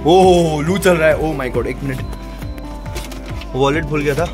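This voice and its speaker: A man's voice: on the high side, measured pace, over music. A young man with an Indian accent, chatting casually to his phone held at arm's length as a vlogger, mixing in English words. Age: young adult. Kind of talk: casual vlog chat with English words mixed in. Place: on the move in car, garage, home and gym.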